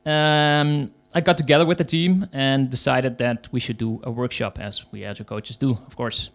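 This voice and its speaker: A man's voice: mid-range voice, steady tone, moderate pace, gravelly. A man with a Dutch accent, speaking English as a second language, gives a conference talk as an agile coach, hesitant, with many fillers. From Netherlands.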